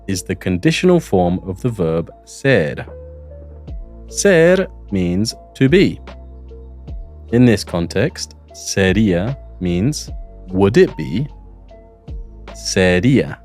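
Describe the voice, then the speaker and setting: Language-course host, London man, deep and expressive, unhurried, patient with learners. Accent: London English. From England. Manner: friendly, clear, teaching pace with repeat-after-me pauses. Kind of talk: presenting a Spanish lesson. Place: outdoors in a public garden.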